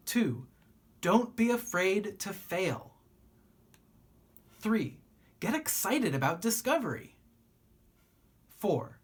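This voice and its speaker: Teacher lady reading a picture book to kids, slightly husky, lots of up and down, careful pauses. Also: fairly low pitch. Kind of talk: expressive read-aloud narration for children.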